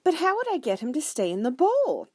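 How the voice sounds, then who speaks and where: A patient, indulgent mother as a woman narrator plays her in a children's storybook. She sounds polite and composed, with a fairly high, very expressive voice recorded dry. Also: unhurried, no room sound. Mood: delighted. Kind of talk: children's audiobook character voice.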